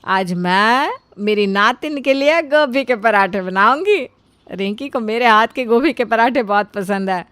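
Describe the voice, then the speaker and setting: An elderly grandmother voiced by a woman for an animated family tale. She is doting and fond, yet bossy as she hands out instructions. The recording is dry. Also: fairly high pitch, a little slow, smooth, dry recording.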